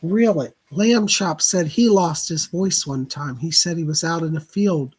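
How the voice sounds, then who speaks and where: A man hosting a live psychic reading stream, hoarse from laryngitis, chatting casually and clearing his throat often. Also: on the high side, unhurried, a little mellow. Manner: chatty, greets viewers by name, keeps clearing his throat.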